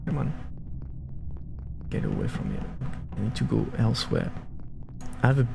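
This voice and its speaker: Gravelly man streaming over game music. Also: medium pitch, wildly swooping pitch. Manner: halting, many fillers and restarts, chuckling. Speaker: streamer and host. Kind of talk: live game commentary and moderating.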